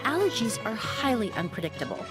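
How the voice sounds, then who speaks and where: A woman playing a courteous young female perfumer in a video game, speaking in a refined, measured, explanatory way. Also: fairly high pitch, big pitch swings, loud music behind the voice. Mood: calm, reassuring. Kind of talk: video game character acting.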